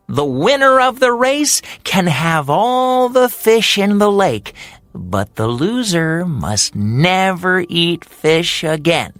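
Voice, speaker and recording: A storyteller voicing a small, boastful, playful male hummingbird in a children's fairy tale, with an excitable, teasing, sing-song delivery. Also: mid-range voice, no room sound, pitched above the performer's own voice.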